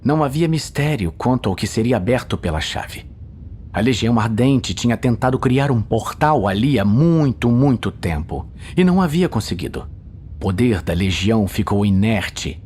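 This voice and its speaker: A man's voice: medium pitch, very animated, normal speed, darkish tone, slight background noise. A man with a Brazilian accent narrating a scripted fantasy audio story in a dramatic style. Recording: voice over a music soundtrack. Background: music and sound effects.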